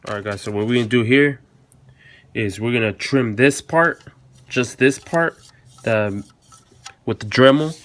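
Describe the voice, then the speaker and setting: Lower-voiced man giving a casual how-to. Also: unhurried, pauses often, fairly bright tone. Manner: informal, occasional swearing, repeats himself. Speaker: host of a car installation tutorial. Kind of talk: casual step-by-step tutorial commentary. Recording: noisy, clicks and vehicle sounds behind.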